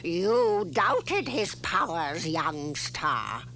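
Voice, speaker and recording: A woman's voice: on the low side, sing-song, fairly bright tone, exaggerated voice. A woman playing a sinister old alien villainess in a children's sci-fi puppet series, speaking with pompous menace on a noisy soundtrack. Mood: gloating menace. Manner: pompous, menacing, drawn-out delivery.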